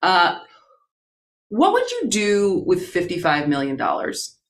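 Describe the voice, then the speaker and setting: A woman's voice: lowish voice, huge pitch swings, slow pace. A woman with an American accent hosting live talk radio in a casual, bantering style. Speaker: radio show host.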